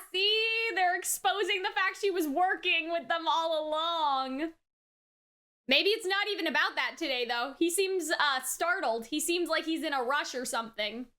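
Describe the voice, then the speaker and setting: A woman hosting a reaction video, chatting casually and excitably, often exclaiming and peppering her talk with filler words and check-in questions. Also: extremely high pitch. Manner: frequent use of "like" and tag questions. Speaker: anime reaction video host.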